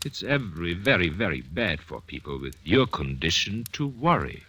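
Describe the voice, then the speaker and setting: An actor playing a calculating, sly male prisoner who speaks smoothly and with menacing calm, and who also narrates, in 1940s radio acting. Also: deep voice, normal speed, exaggerated voice. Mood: soothing.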